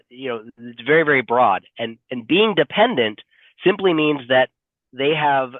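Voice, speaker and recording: A man's voice: mid-range voice, no room sound. A male attorney with a stuffy head cold, presenting a webinar and explaining legal rules with frequent fillers and restarts. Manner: hesitant, many fillers and restarts. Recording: dry, tinny phone-like audio.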